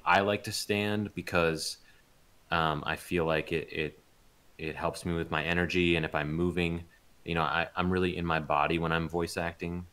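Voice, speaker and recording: A man with a notably deep voice speaks as a guest in an unscripted interview. He talks casually, feeling his way through answers with hesitations and rambling asides, like someone thinking aloud. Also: a bit bright. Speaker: voice actor being interviewed.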